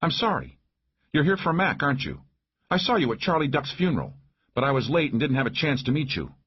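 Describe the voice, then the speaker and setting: Man voicing a fiery high-pitched woman sheriff. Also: big pitch swings, brisk, slightly rough, lo-fi sound. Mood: calming, apologetic. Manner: combative, blunt, cursing. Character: hot-tempered, foul-mouthed tough female sheriff. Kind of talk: audiobook character voice.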